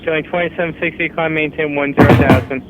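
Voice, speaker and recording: A man's voice: on the high side, steady tone, quick pace. A man working as an air traffic controller, giving clipped procedural calls over the radio. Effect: radio transmission. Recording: noisy, narrow-band air traffic radio.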